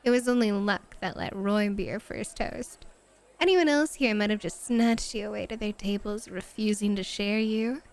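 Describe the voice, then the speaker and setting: A woman playing a flirty, teasing bunny-club hostess in an ASMR roleplay, with coy, drawn-out phrasing. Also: medium pitch, a little mellow, lightly acted. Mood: flirty, warm.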